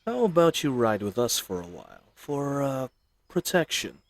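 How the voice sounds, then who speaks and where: A man's voice: medium pitch, sing-song, normal speed. A man playing a chatty, roguish bandit leader in an audio roleplay, with folksy slang and teasing mock courtesy. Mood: sly, teasing. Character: roguish, chatty, friendly male bandit leader, mockingly polite. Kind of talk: scripted audio roleplay addressed to the listener.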